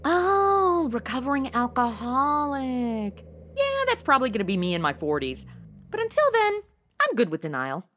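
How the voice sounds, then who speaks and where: A woman's voice: sits high, huge pitch swings, normal speed, fairly bright tone, a little hiss or hum, full character voice. A young woman playing an irreverent, chatty modern sidekick with a sassy, casual manner in a comedic audio drama. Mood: wry. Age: young adult.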